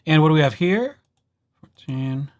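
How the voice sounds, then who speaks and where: A man presenting a casual software tutorial as a library host, with playful, wry asides. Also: fairly high pitch, expressive, moderate pace. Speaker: library presenter hosting a digital services tutorial.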